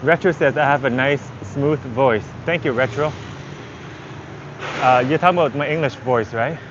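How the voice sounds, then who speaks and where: A man's voice: medium pitch, normal speed. A man who is a native Vietnamese speaker chats casually in English, rambling and thinking aloud like a walking travel livestreamer. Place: outdoors on a busy city street. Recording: dull audio with loud street traffic. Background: traffic, vehicles, urban street noise.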